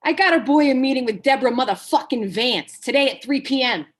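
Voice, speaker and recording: Brash, foul-mouthed Hollywood agent, played by a woman, higher-pitched, cocky and needling, comedy table read. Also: lively intonation, brisk. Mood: cocky, crude. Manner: cocky, blunt, taunting.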